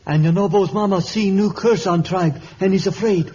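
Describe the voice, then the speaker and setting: A man acts out a frightened, fatalistic African villager in an old radio adventure. His voice sits high and soft-toned, with halting, broken pidgin English. The recording is dull and a little noisy. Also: lightly acted. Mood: fearful, resigned. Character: frightened African villager, a new father resigned to a curse. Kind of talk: vintage radio adventure serial acting.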